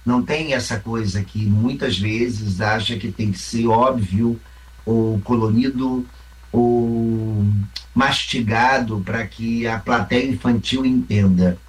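A man with a Carioca Brazilian accent speaks with a reflective manner. He explains his ideas patiently and backs them with examples. His pitch and energy sit in an ordinary middle range. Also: fairly even intonation, slow, far from the mic, soft background music, rough recording. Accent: Carioca Brazilian Portuguese. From Rio Grande do Sul, Brazil. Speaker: theatre company member, mime actor. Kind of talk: interview answers.

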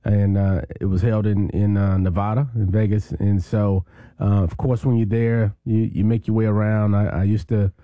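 A man's voice: lowish voice, little pitch movement, normal speed, few pauses, dark tone, no room sound. A man who is a town mayor and a guest on a radio talk show, speaking in an easygoing, rambling way. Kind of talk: casual radio interview.

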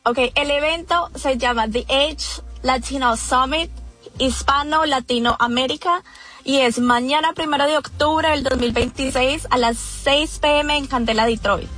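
Colombian woman guest, high voice, earnest, restarts often, faint music underneath. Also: unhurried, a bit bright. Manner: earnest, many fillers and restarts. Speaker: event organizer, guest. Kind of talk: radio interview.

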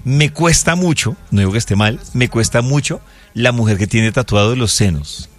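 A man's voice: mid-range voice, animated, moderate pace. A man with a Colombian accent chatting casually as a panelist on a show. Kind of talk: casual chat.

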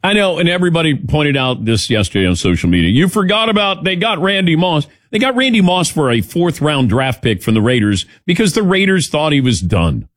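A man's voice: a little high, very animated, fairly quick, few pauses, fairly bright tone, no echo. A man hosting a sports talk radio show in a casual, wry, opinionated manner.

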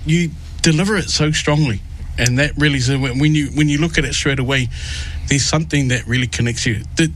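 Male radio host, fairly high voice, loose and rambling, greets guests warmly. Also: fairly quick, slight background noise, poor audio. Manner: rambling, repeats words and restarts often. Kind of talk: warm casual interview with Pacific greetings.

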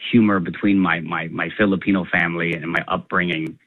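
A comedian talking fast and animatedly during a phone interview, laughing often. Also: male voice, deep voice, fairly even intonation, no echo. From Canada. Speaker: comedian guest. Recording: tinny phone line.

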